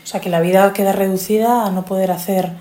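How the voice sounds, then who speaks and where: A calm woman interviewer puts gentle follow-up questions and sums up the answers. Her tone is warm and mellow. The room around her is echoey. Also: mid-range voice, quick pace. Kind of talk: conversational questions.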